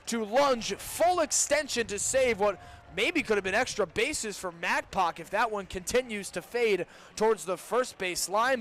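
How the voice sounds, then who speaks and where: A young man doing live play-by-play baseball commentary for radio, describing each pitch in detail and surging with excitement on big plays. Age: young adult. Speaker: student baseball play-by-play announcer. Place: outdoor baseball stadium broadcast. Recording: noisy outdoor ballpark ambience.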